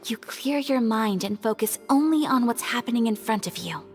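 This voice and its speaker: A woman's voice: on the high side, animated, moderate pace, a little hiss or hum, natural voice. A woman playing a gracious, modest young swordswoman in a video game, speaking with refined, composed politeness.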